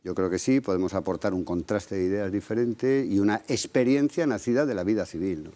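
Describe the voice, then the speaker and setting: Man from Galicia, low and mellow, TV interviewee, measured and deliberate. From Galicia, Spain. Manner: measured, often ending with "¿no?". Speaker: interviewed candidate. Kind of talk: TV interview answers.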